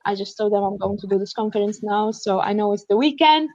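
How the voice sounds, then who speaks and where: A woman's voice: medium pitch, fast, darkish tone, dry recording, dull audio. A young woman from Malta with a Maltese accent, speaking at an online student panel in an earnest way, with many fillers as she thinks aloud. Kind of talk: online panel talk, reading out notes. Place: shared flat, at home. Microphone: computer mic on a video call.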